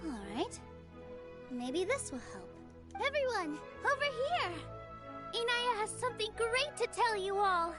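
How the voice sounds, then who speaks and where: An actress playing a gentle young dancer who speaks softly and reassuringly, over game music. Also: female voice, extremely high pitch, very animated, a little slow, exaggerated voice. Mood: encouraging. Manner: soft and reassuring. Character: gentle young female dancer, warm, encouraging.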